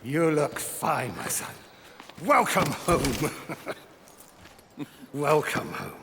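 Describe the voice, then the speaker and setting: Fatherly older Roman man, swinging pitch. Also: big pitch swings, vocal fry, heavily acted. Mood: affectionate. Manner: slow, fatherly. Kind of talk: dramatic video game acting. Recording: reverberant hall, faint background noise.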